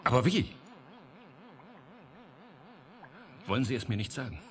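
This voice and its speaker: An actor playing an authoritative, probing scientist in a German radio drama, giving orders and asking questions. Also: male voice, medium pitch, lively intonation, rapid-fire, lightly acted. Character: authoritative male scientist in charge, businesslike, probing, calculating.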